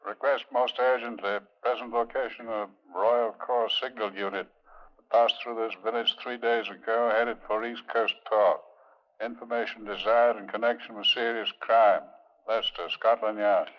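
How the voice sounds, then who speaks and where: An actor playing a formal, clipped police official reporting facts in a 1950s radio drama. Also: male voice, fairly low pitch, steady tone, normal speed, lightly acted. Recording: dull vintage radio recording.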